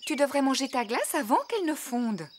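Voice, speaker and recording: A woman voicing a kind, sensible mother pig in a preschool cartoon, gentle and encouraging. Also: high voice, sing-song, fairly quick, a little hiss or hum. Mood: kind, practical. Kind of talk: French-dubbed preschool cartoon acting. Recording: music behind the voice.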